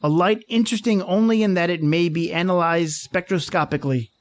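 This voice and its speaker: A man's voice: high-pitched, brisk, lightly acted. A man playing a teasing, romantic astronomy professor husband in a radio drama, with playfully pompous, wordy delivery. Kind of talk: old-time radio drama acting.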